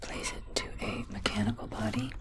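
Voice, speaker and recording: A woman playing a calm, methodical alien medical technician in a hushed, deliberate ASMR roleplay, reassuring the listener. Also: very deep voice. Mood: grave, reassuring. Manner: slow, deliberate, hushed delivery.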